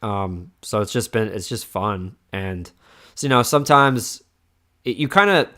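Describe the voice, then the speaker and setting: A man's voice: lowish voice, expressive, unhurried, sharp tone. A man hosting a casual streamed podcast, rambling with lots of restarts and fillers as he wraps up with his guest. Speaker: podcast host. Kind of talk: casual chat.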